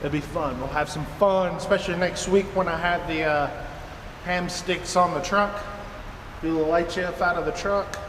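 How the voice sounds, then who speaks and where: A folksy American man in his thirties with a fairly high-set voice, rambling casually like a trucker chatting to his viewers. He sounds boxed in by a hard, echoing trailer, with plenty of background noise around him. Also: steady tone, moderate pace. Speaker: trucker and video blogger. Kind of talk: casual vlog to camera. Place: inside an empty truck trailer, door open. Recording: echoey metal trailer, clear background noise.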